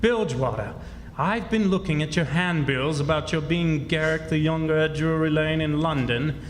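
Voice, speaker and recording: Man playing a high-pitched, self-important phony king. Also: normal speed, slight background noise, lightly acted. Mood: folksy, agreeable. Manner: mock-regal, folksy, self-important. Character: domineering old swindler posing as a king. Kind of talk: stage radio drama acting. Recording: echoey hall stage performance, music behind.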